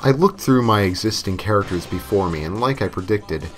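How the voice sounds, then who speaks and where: A man with a low voice narrates a tongue-in-cheek creepypasta. He reads theatrically, leaning into emphasis, with music playing behind him. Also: animated, fairly quick. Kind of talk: scripted comedic horror story narration over music.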